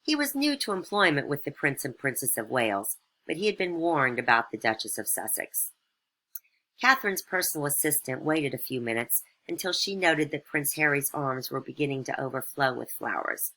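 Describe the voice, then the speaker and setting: Casting: female storyteller for satirical fairytale narration, extremely low pitch, bright forceful tone. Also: lively intonation, normal speed, rough recording.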